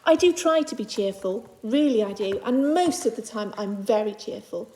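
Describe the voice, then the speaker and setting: A woman's voice: on the high side, expressive, normal speed, mellow, rough recording. A woman teacher reading a children's picture book aloud to young pupils, phrasing it simply and adding reassuring asides in her own words.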